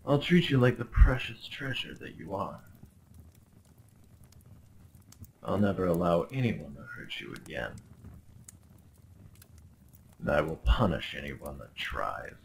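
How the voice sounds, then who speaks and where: A man's voice: low-pitched, big pitch swings, a little slow, creaky, background noise, exaggerated voice. A man playing a young, obsessive medieval prince in a dramatic ASMR roleplay, speaking in courtly, theatrical phrases with a possessive, menacingly tender undertone. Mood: possessive, coaxing. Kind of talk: dramatic ASMR roleplay monologue addressed to the listener.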